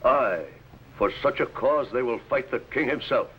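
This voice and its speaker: A man's voice: mid-range voice, moderate pace, natural voice. Man playing a grave rebel knight, dull recording. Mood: grave, indignant. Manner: formal, declamatory. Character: grave, indignant medieval English baron, a rebel knight. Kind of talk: 1950s television costume drama acting. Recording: dull, faintly noisy old TV soundtrack.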